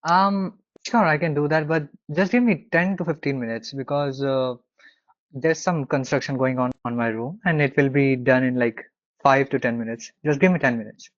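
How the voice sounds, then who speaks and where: A man with an Indian accent, a consulting client speaking haltingly with many fillers on a video call. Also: medium pitch, no echo, highs cut off.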